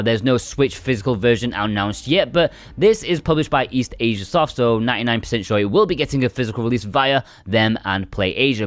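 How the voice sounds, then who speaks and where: A man reads a scripted game review with a very animated, varied intonation. His voice sits at a medium pitch and his delivery is conversational and emphatic. The sound is dry, with little room echo.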